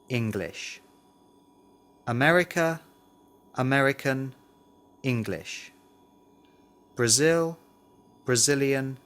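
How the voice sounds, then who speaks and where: A man's voice: mid-range voice, fairly bright tone. A man reading a scripted language lesson, carefully enunciating model words and sentences. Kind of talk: scripted language drill, read aloud.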